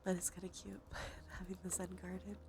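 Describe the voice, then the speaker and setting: A woman streamer chatting in a soft, breathy, often whispered ASMR style, murmuring gently as she thinks aloud. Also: on the low side, level tone, brisk. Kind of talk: cozy ASMR game stream chat. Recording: soft background music. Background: music.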